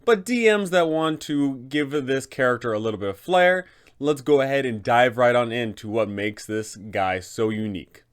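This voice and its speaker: A man's voice: medium pitch, normal speed, clear, resonant tone. A man hosting a guide for game masters, delivering scripted narration about a fantasy tabletop game. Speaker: host, game master giving advice.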